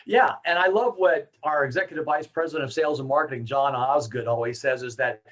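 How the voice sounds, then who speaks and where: An American man presenting a software product demo in a conversational, explanatory way. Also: medium pitch, a little fast.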